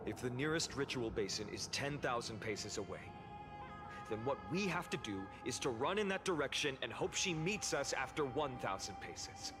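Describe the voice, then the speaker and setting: An actor playing an earnest young male leader in a video game, speaking in measured, resolute speeches. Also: medium pitch, big pitch swings, close to an everyday voice. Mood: calm, explaining. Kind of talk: video game story voice acting. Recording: music behind the voice. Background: game music.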